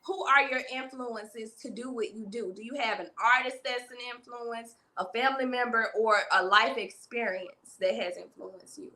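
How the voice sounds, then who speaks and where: A woman's voice: on the high side, normal speed, hardly any pauses, pushed and bright. A woman hosting a livestream interview, asking questions and talking to the chat in an upbeat way. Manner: upbeat, addresses the chat directly. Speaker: interview show host.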